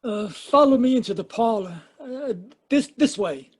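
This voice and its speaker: A man's voice: extremely high pitch, expressive, measured pace, darkish tone, exaggerated voice. An actor playing a timid, stammering old eccentric father in an amateur radio drama over a video call. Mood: nervous. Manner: stammering, hesitant.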